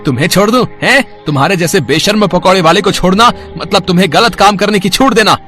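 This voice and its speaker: A man voicing an angry mob member in a cartoon moral story, shouting menacing threats. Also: sits high, a little fast, soft background music. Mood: furious.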